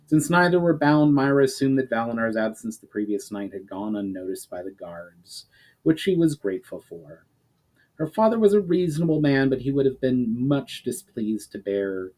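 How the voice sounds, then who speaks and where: A man narrating a fantasy novel as a dramatic reading, in an expressive, deliberate storytelling manner. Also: lowish voice, rough recording. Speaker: author reading his own book.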